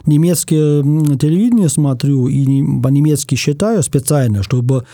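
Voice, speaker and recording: A man from Germany, a guest in an interview, speaking thoughtfully in casual talk and pausing often to find his words. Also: on the high side, dark tone, no echo, studio quality.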